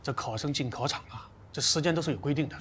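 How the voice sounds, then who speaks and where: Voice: medium pitch, fast, background noise, natural voice. An actor playing a stern, rule-bound exam official, firm and admonishing, in a screen drama with music and a crowd behind.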